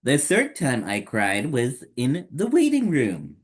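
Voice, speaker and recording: A man hosting story time, reading a children's picture book aloud in simple, deliberate phrases. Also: mid-range voice, huge pitch swings, slightly rough.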